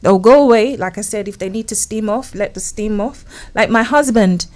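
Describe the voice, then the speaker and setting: An earnest woman of middle pitch talks through relationship advice in a relaxed interview. She tells stories from her own marriage and acts out both sides of the conversation. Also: normal speed. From England. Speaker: relationship consultant guest.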